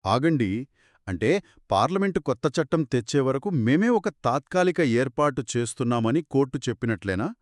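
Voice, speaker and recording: A man speaks as the inquisitive host of a two-person explainer. His medium-pitched voice has animated intonation as he asks and sums up. The recording is dry and free of room sound. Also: normal speed, no echo. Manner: inquisitive, recapping points. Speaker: host asking questions. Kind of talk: scripted two-person legal explainer discussion.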